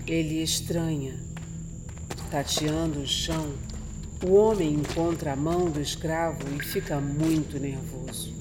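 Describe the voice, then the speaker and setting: Narrator, male, Brazilian: slightly high voice, slow dramatic horror storytelling over music. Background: music and night insects.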